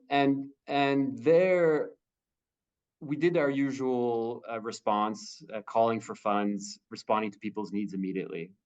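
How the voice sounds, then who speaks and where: A lower-voiced man speaks over a video call, hesitant and full of restarts as he answers career questions. The call audio sounds dull and muffled. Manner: halting, many fillers and restarts. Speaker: humanitarian spokesperson.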